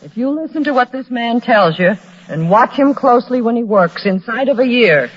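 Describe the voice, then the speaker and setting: A woman's voice: on the high side, lively intonation, normal speed. An actress playing a shrewd, bossy middle-aged mother with a brusque, commanding way of talking, in a 1940s radio crime drama. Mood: firm, businesslike. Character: domineering, shrewd crook mother ordering young couple around. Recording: dull old-time radio recording.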